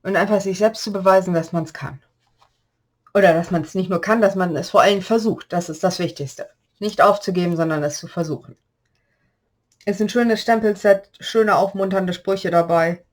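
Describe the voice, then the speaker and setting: A woman with a slight cold-hoarse voice, chatting through a step-by-step paper-craft tutorial and explaining the measurements as she works. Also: medium pitch, moderate pace. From Germany.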